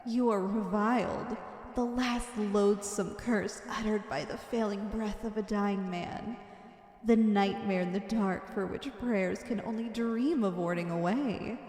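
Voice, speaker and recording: A woman's voice: mid-range voice, a little slow, darkish tone, close to an everyday voice. A woman playing a wicked, obsessively devoted villainess in a theatrical ASMR roleplay, with a grandiose, fervent delivery. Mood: ominous reverence. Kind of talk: ASMR roleplay, scripted dramatic monologue. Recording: echoey, long reverberant hall effect.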